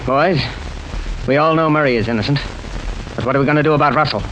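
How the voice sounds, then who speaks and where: A man's voice: on the high side, fast, background noise, close to an everyday voice. A man playing a brusque, stubborn jury foreman in an old-time radio drama, blunt and argumentative. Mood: businesslike.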